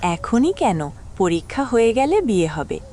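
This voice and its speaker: A woman's voice: on the high side, sing-song, a little slow, dark tone, slight background noise. An actress playing an easygoing middle-aged mother in an audio drama, coaxing and mild.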